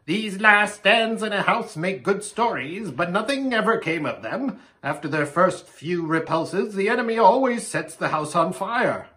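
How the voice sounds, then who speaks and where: Storybook raven played by a man: croaky, high-pitched, courtly old counsellor, sententious, animated delivery. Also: unhurried, few pauses, heavily acted. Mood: wry caution.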